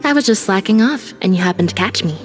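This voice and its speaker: Woman as a cool, deadpan young game heroine. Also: mid-range voice, fast, faint music behind, lightly acted. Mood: calm, dry. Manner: deadpan, composed. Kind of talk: animated video game dialogue.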